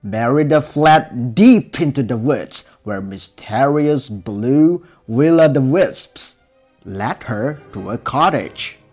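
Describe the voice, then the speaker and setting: A man narrating a scripted children's fairy tale in a measured, expressive storybook style. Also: medium pitch. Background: music.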